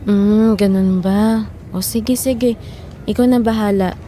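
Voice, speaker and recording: A woman's voice: medium pitch, fairly even intonation, slow pace, mellow, slight background noise, lightly acted. A woman playing a weary, frustrated wife who worries over household money in a Filipino audio drama. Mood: resigned, reluctant. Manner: complaining, pleading, emotive.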